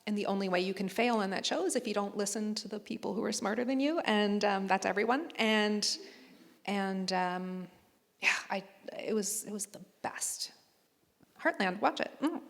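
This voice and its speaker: A woman chatting on a convention panel, telling lively stories and putting on playful voices. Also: mid-range voice, normal speed, creaky. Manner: animated storyteller, playful voices. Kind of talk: casual panel chat. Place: large hall on stage. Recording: reverberant hall sound.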